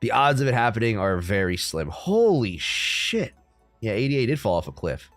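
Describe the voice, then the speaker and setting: A young man from the United States hosting a crypto livestream, chatting casually with viewers in a relaxed, rambling way full of ums and likes. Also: on the low side, moderate pace, no echo, soft background music. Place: room at home.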